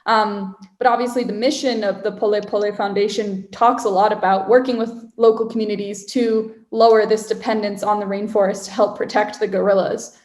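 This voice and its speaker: A woman hosting an interview podcast, appreciative and using some fillers. Her voice sits at a middle pitch. She is recorded in a roomy, echoing space. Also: steady tone, fairly quick, few pauses, darkish tone. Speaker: podcast host. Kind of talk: interview questions.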